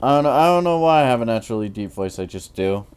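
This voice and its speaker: A tired man in his twenties with a naturally deep voice, rambling through casual commentary while he builds in a game, full of fillers and restarts. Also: lively intonation, quick pace, darkish tone, clean tone. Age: 20s. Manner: rambling, hesitant, many fillers. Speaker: Minecraft YouTuber.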